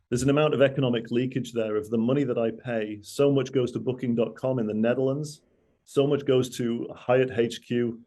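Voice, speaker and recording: A man with a Mancunian accent talks through a presentation over a video call. His voice is mellow and warm. He wanders into asides, restarts his sentences and corrects himself as he goes. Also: medium pitch. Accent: Mancunian English. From Manchester, UK. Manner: rambling, self-correcting, with frequent asides. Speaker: guest speaker.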